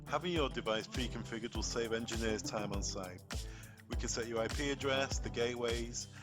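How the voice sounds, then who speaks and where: A man works as a technical trainer, talking through a tutorial step by step. His delivery is plain and informal, and music plays with his voice. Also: mid-range voice. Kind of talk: software tutorial walkthrough.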